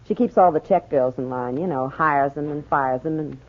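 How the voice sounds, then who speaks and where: A woman's voice: low-pitched, normal speed, lightly acted. A woman playing a brassy, flirtatious nightclub singer with slangy, drawling talk in a 1940s radio drama. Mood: knowing, guarded. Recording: muffled, narrow-band 1940s radio recording.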